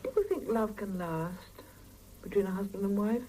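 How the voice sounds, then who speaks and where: A woman's voice: mid-range voice, normal speed, mellow, lightly acted, poor audio. An actress playing a weary Soviet wife and mother in a 1970s radio drama. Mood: reflective, intimate. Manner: intimate, reflective when narrating, exasperated with family. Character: weary Soviet working wife and mother narrating her week. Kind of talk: 1970s radio drama acting and first-person narration. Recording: hissy 1975 mono radio cassette copy.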